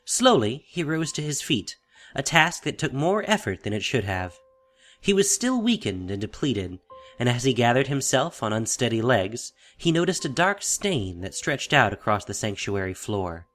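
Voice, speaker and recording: A man narrating a scripted fantasy story in a measured, dramatic way. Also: lowish voice, fairly bright tone.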